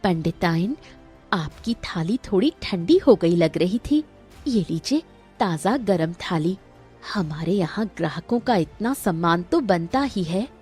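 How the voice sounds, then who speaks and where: A woman's voice: fairly low pitch, expressive, a little slow, darkish tone, husky, faint music behind. A woman voicing a shrewd village eatery owner in a cartoon, polite but pointed. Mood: polite, sly.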